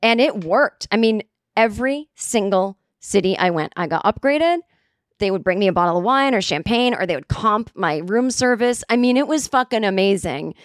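Raspy woman telling a profane comic story. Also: mid-range voice, moderate pace, no room sound, clean studio sound. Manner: profane, animated, acts out quotes. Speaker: comedian and podcast host. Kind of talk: casual comedic storytelling.

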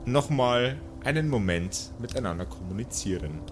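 A man's voice: mid-range voice, lively intonation, moderate pace. A man narrating an improvised tabletop game as game master, in a playful, colloquial way. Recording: music behind the voice. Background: music.

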